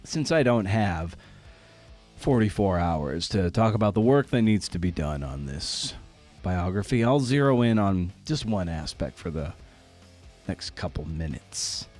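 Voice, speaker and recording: A man's voice: low-pitched, very animated, a little slow, darkish tone, faint music behind. A man hosting a podcast, talking informally in a candid, self-deprecating way. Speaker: podcast host.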